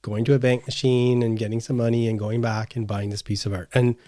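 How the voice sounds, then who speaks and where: A man's voice: mid-range voice, level tone, brisk, warm tone, dry recording, clean studio sound. A middle-aged man chatting casually as a podcast co-host. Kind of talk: casual conversational chat.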